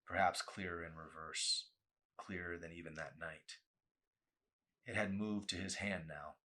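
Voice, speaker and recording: A man's voice: low-pitched, steady tone, a little slow, pushed and bright. A man reading a novel aloud as a dramatic audiobook, with an intense, theatrical delivery.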